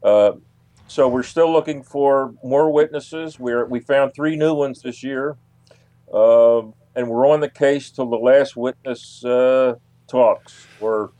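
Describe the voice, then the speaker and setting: An older man from the United States answers as a guest on a talk show. His voice sits at a medium pitch. He speaks off the cuff in a hesitant, halting way, with a dry sound free of room echo. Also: dark tone. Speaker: interview guest, UFO investigator. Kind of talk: unscripted interview talk.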